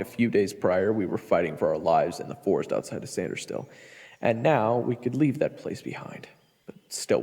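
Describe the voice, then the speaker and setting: A man's voice: lowish voice, creaky, dark tone, husky, long reverb tail. A man narrating a scripted first-person fantasy story for an audio roleplay.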